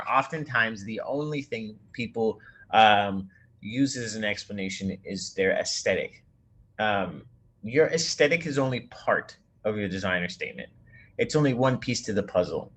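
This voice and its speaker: A male design instructor with a somewhat low voice talks through a class project in a recorded lecture. He explains at an easy pace and reads an example text aloud, restarting a word now and then. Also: fairly bright tone.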